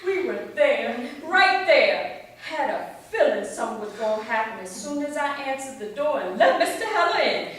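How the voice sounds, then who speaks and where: Woman acting a very high-voiced, indignant aunt, echoey and distant. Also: very animated, normal speed, a bit bright. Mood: excited gossip. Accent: American. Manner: folksy, emphatic, theatrical. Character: indignant, melodramatic aunt, a domestic maid scolding her nephew. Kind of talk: staged play reading. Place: staged reading in a reverberant room. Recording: echoey room, distant mic, noisy.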